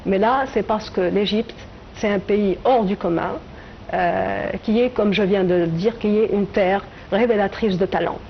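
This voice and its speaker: A middle-aged woman from Tunisia speaks as an interview guest, thinking aloud and pausing often as she searches for her words. The broadcast sound is slightly muffled, with a faint hiss underneath. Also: mid-range voice, fairly even intonation, gravelly. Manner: hesitant, reflective, many filler pauses. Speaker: writer and translator guest. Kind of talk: TV interview answers.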